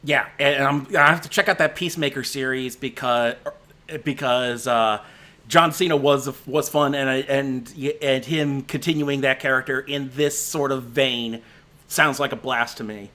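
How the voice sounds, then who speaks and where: A man on a home stream, his voice going hoarse and thin as he talks. The tone stays bright and edged despite the strain. He rambles loosely, stopping and restarting sentences with plenty of "uh" and "you know.". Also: mid-range voice, a little slow. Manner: rambling, frequent restarts and fillers. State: voice giving out, slightly hoarse. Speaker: movie critic. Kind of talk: casual spoken movie rundown on a stream.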